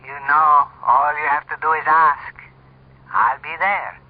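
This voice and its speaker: An actor playing a hesitant, devoted lover in a radio drama, speaking haltingly through a telephone filter. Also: male voice, on the high side, steady tone. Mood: hesitant, yielding. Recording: muffled lo-fi radio, tinny telephone sound.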